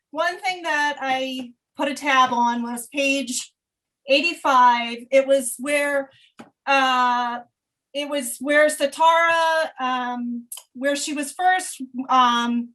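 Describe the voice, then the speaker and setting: A woman's voice: high-pitched, fairly bright tone, poor audio. A woman in a book club asking the author a question over a video call, hesitant, with many ums.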